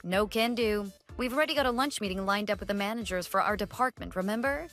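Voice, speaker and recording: A woman's voice: medium pitch, fast, a bit bright, natural voice. Actress as unimpressed office woman, curt, cool, dismissive, businesslike, radio drama, music underneath. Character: curt, unimpressed professional woman fending off pestering coworker. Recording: voice over background music.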